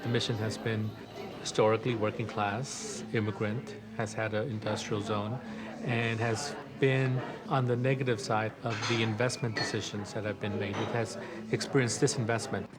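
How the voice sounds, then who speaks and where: Low, flat-voiced analytical man. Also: normal speed. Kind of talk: documentary interview. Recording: loud music bed under voice.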